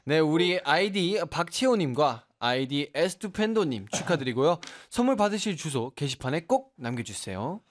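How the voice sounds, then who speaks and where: A man's voice: fairly high pitch, big pitch swings, normal speed, hardly any pauses. Upbeat male radio host. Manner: upbeat, playful, game-show announcing. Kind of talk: radio show banter and announcements. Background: faint background music.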